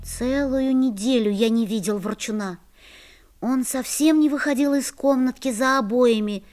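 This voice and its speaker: A woman voicing a kind schoolboy who narrates a children's radio play with gentle, clear storytelling. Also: fairly high pitch, moderate pace, faint music behind, lightly acted.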